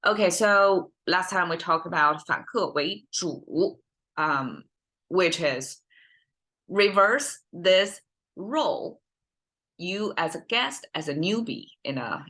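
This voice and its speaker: A woman, a Mandarin speaker, hosting a language lesson in casual, thoughtful speech, pausing often with fillers and restarts. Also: sits low, pushed and bright. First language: Mandarin Chinese. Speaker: host and language teacher. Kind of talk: casual teaching monologue.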